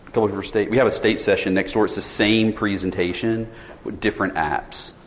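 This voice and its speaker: A man's voice: on the low side, steady tone, fairly quick, slight background noise. A man in his forties gives a casual conference presentation to an audience, polling them with questions and restarting his sentences often. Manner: informal, polls the audience, many restarts. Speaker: sales director presenting at a conference. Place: conference session room. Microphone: tethered mic. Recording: reverberant hall, faint ambience.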